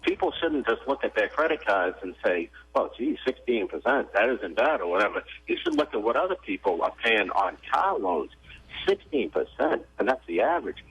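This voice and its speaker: Male caller, very husky, lively and indignant; muffled lo-fi phone line. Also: on the low side, a little fast. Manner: indignant, animated. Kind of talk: call-in radio chat.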